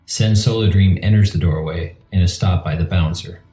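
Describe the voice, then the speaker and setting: A male narrator reads scene directions in a very low, dark voice. The sound is roomy and echoing, with music faintly underneath his scripted narration. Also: normal speed. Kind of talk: scripted screenplay-style narration.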